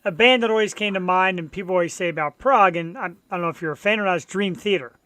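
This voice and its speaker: A man's voice: sits high, fast talker, sharp tone, no echo. A man hosting an interview show, asking questions in an eager, enthusiastic, fan-like way. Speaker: show host. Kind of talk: casual interview chat.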